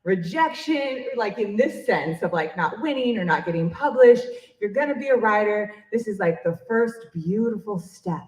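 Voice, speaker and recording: A woman is hosting a live event in an echoey room. Her pitch moves around a lot as she talks off the cuff. She is effusive and encouraging, leaning on key phrases for emphasis. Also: lively intonation, normal speed, darkish tone. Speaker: emcee of an awards event. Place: public library event room, in front of an audience.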